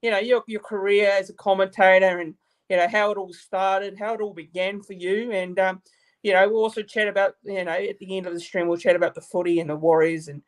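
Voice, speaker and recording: A man's voice: high-pitched, little pitch movement, a little fast, low-quality recording. Hesitant New Zealand man hosting a stream. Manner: hesitant, many fillers and restarts. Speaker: YouTube channel host. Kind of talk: casual live-stream interview.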